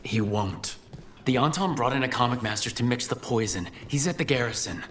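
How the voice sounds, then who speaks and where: A determined adventurer played by a man with a medium-pitched voice. He leads in a brisk, businesslike way in a fantasy game, over clear background noise. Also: expressive, a bit bright, natural voice. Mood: alert, determined. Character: alert, decisive male adventurer leading his companions. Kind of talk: dramatic video game acting.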